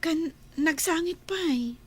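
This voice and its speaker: An actress playing a gentle, patient woman who coaxes a child to talk and murmurs her thoughts aloud. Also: sits high, takes it slowly. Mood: explaining. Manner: soft, coaxing, often whispered asides.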